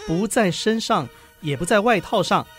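An actor playing a patient, dutiful husband in a literary radio drama, speaking in a soothing, earnest, sighing way. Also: male voice, sits high, lively intonation, normal speed, music in the background. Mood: reassuring, worried. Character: patient, dutiful husband calming his panicking wife.

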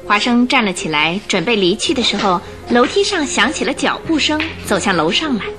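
Female narrator for a radio mystery drama, lively dramatic storytelling, animated pitch, clear music behind. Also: brisk.

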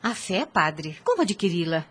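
A woman's voice: fairly low pitch, very animated, moderate pace, fairly bright tone, breathy edge, heavily acted. An actress playing a devout, troubled woman seeking counsel in a radio drama, earnest and formally worded. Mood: questioning. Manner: earnest, formal archaic phrasing.

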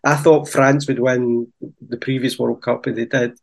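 A man's voice: mid-range voice, level tone, normal speed, vocal fry. A man co-hosting a football podcast, hesitant and thinking aloud in casual chat. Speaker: podcast co-host.

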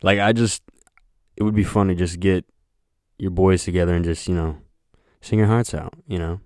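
A young man hosting a solo podcast, talking in a flat, monotone voice that rambles, with lots of hesitation and filler words. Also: low voice, moderate pace, no room sound, studio quality. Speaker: podcast host. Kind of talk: casual solo podcast chat.

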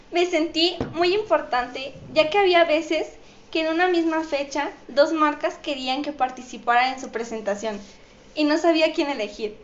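A woman with a high voice and a Mexican accent answers interview questions. The sound is echoey and somewhat dull, as if taped in a bare room. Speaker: interview guest, model.

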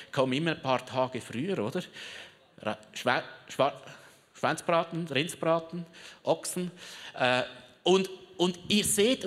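Slow, theatrical preacher in a reverberant hall. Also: mid-range voice. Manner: theatrical storyteller, rallies the crowd; chatty asides, joking with the audience. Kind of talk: lively sermon with audience participation. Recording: long reverb of a big hall.

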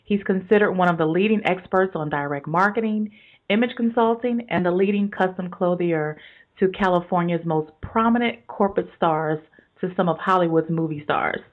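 A woman hosting a business radio interview, with an energetic, promotional delivery. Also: fairly low pitch, normal speed, narrow, tinny audio. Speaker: podcast host.

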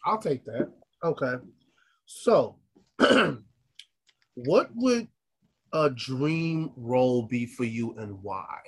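A man interviewing a singer, asking questions in a halting, hedging way. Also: mid-range voice, sing-song, husky, poor audio.

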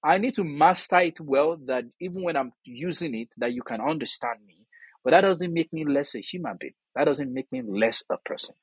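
A man's voice: a little high, animated, normal speed, husky, no echo, rough recording. A man with a Nigerian accent giving earnest, emphatic, conversational answers as an interview guest, telling anecdotes and acting out quoted dialogue. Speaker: interview guest, theatre artist and scholar.

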